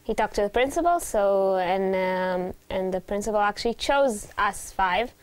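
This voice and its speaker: Young woman, smooth clear voice, bit far from the mic, chatty with ums. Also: medium pitch, animated, normal speed. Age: 20s. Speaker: music student. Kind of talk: interview.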